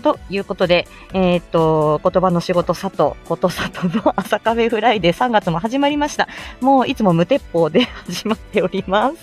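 A woman's voice: mid-range voice, lively intonation, moderate pace, hardly any pauses, darkish tone. Giggly woman chatting over music. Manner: giggly, self-deprecating, hesitant and rambling. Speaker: host of a morning audio show. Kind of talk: casual solo chat with announcements.

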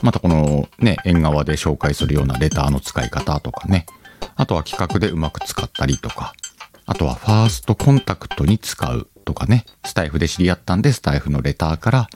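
A man with a very deep voice hosts a casual solo podcast. His delivery rises and falls in a lively way as he thinks aloud and talks straight to the listeners, with soft music underneath. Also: fairly quick. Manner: conversational, thinks aloud, addresses listeners directly. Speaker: podcast host.

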